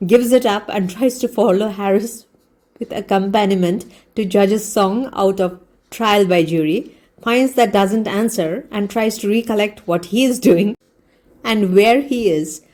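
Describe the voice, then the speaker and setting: A woman storyteller giving a deliberate, expressive read-aloud narration of a comic story. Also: medium pitch. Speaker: audiobook storyteller.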